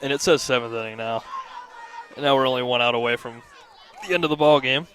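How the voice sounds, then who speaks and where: A man giving conversational color commentary on a live softball broadcast. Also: mid-range voice, quick pace, a faint crowd, slight background noise. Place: outdoors at a ballfield, on a platform by tables.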